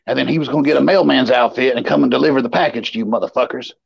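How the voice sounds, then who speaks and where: Very gravelly man, casual and blunt. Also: medium pitch, very fast, lo-fi sound, a little hiss or hum. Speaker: livestream co-host. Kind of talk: casual chat, storytelling. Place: online stream call.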